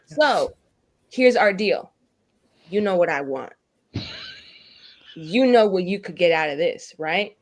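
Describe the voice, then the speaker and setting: A woman's voice: fairly low pitch, expressive, slow pace, pauses often. A woman talking casually and playfully as a player on a tabletop roleplay show, with a theatrical, wordy, mock-pleading manner. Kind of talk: casual, playful group chat.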